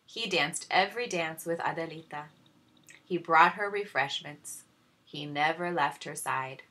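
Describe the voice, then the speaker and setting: A woman reading a children's story aloud in expressive narration. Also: low voice, unhurried. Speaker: story reader.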